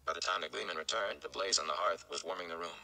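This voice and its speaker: A man's voice: low-pitched, fast, sharp tone, clear, resonant tone. A man in his thirties giving a dramatic audiobook-style narration as an amateur reader, indoors on a phone. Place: indoors, room.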